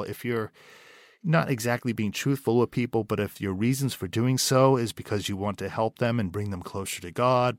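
A man with a very low voice talks through his answers in an interview, thinking aloud with fillers and restarts. The sound is close and dry. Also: no room sound. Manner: thoughtful, searching for words with many restarts and fillers. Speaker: writer and director, interview guest.

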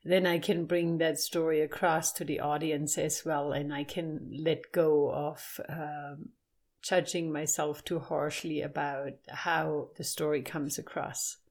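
A woman's voice: deep voice, little pitch movement, slow, a little mellow. An older woman with a German accent, a storyteller speaking reflectively in an interview, sometimes searching for words.

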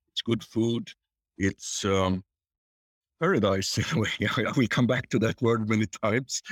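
An older man, answering interview questions in a reflective, halting, conversational way. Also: on the low side, dry recording. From born in Tanzania, grew up there and in Sweden. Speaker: podcast guest.

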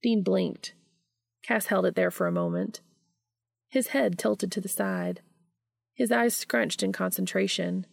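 A woman hosting a podcast and reading fan fiction aloud in an expressive audiobook style. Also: medium pitch, a little slow, gravelly, pauses often, breathy edge, no echo, clean studio sound.

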